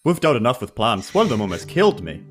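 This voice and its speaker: A man's voice: mid-range voice, sing-song, quick pace, loud music behind the voice. A man with a New Zealand accent playing a tabletop role-playing game, bantering casually with dry humour. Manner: dry, joking.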